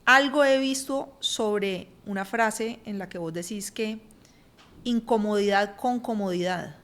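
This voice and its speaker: A woman's voice: medium pitch, measured pace, fairly bright tone. A woman who hosts a podcast, conversationally encouraging and questioning her guest. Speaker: podcast host. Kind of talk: interviewing a guest.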